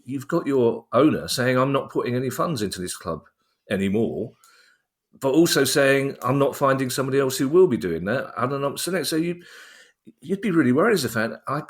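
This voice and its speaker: A man hosting a podcast in casual chat, with a wry, wordy, self-deprecating manner. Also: medium pitch. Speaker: podcast host.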